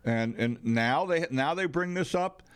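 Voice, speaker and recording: A man's voice: on the high side, lively intonation, unhurried, clear, resonant tone. Exasperated American man co-hosting talk radio. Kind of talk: conversational political banter. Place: radio studio.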